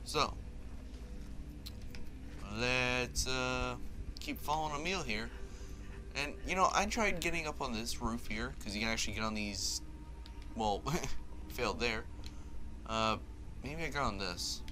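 A man's voice: medium pitch, unhurried, pauses often. A man giving casual, wry gameplay commentary. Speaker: gaming video host. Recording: game music behind. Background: video game music and sounds.

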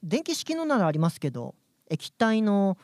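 A man's voice: high-pitched, huge pitch swings, normal speed, dry recording, clean studio sound. A man playing a polite, easily flustered young man as the bewildered straight man in a radio comedy drama. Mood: puzzled, polite.

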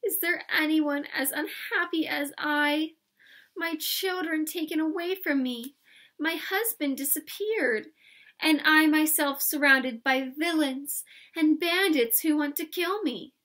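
A woman's voice: high-pitched, slow, a bit bright, pitched above the performer's own voice. A woman voicing a sorrowful, fearful countess from a stage melodrama, plaintive and theatrical, in a storybook reading. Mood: despairing.

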